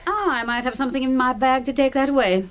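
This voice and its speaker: A woman's voice: high-pitched, fast, a little hiss or hum, close to an everyday voice. An actress playing a witty upper-class English lady in a radio drama, arch and teasing, with an upper-class English accent. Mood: solicitous.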